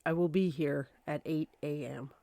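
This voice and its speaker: A woman's voice: low voice, fairly even intonation, normal speed, creaky, mellow, no room sound. A woman playing a polite, earnest young woman down on her luck, speaking formally in an old-time radio drama. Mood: subdued, determined. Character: polite, earnest young woman, newly destitute, seeking work.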